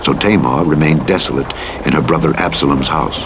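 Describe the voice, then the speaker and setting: A man reading scripture aloud in a measured, solemn way, with rain and engine noise behind. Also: extremely low pitch. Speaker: scripture reader.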